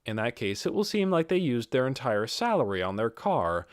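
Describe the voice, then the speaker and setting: Expressive male audiobook narrator, dry sound. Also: mid-range voice, normal speed, no room sound. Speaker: audiobook reader. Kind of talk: scripted fantasy audiobook narration.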